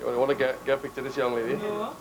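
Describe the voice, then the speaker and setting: A man casually and briskly showing off a busy record shop. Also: medium pitch, expressive, poor audio. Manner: brisk, showing things around. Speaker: carnival visitor. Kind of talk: casual chat. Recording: loud crowd behind.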